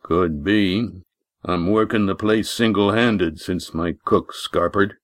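A man doing a slow, drawling innkeeper. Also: sits low, dry recording. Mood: wry. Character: short, wry rustic male innkeeper, middle-aged.

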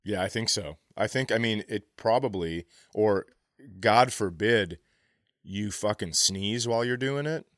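A man with a fairly low voice talks as a comedy podcast co-host. He riffs crudely and swears freely in loose, casual chat, recorded close and dry. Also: measured pace, a bit bright, dry recording. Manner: profane, riffing.

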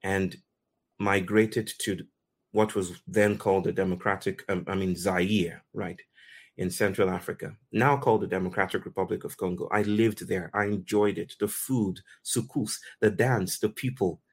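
A man from Nigeria with a very low voice hosts a talk. He opens it by telling a story in slow, poetic phrases and leaves pauses between them. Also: fairly even intonation, husky. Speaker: host.

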